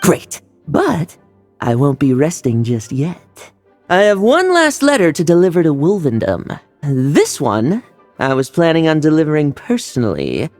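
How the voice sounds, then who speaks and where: A woman's voice: low voice, wildly swooping pitch, measured pace, darkish tone, soft background music, full character voice. A woman playing a spirited, determined young female courier knight in a video game. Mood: determined, upbeat. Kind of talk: game voice acting.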